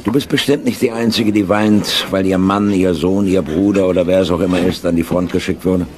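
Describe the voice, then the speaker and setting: An actor playing a weary, blunt but compassionate army doctor in a radio play. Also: male voice, deep voice, fairly even intonation, brisk, a crowd in the background, natural voice. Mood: sympathetic. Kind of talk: German radio play acting. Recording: clearly noisy background.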